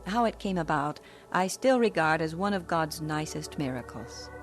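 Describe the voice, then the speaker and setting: A woman narrating her own story in a gentle, reflective 1940s radio drama style. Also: sits low, lively intonation, moderate pace. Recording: vintage radio recording with music.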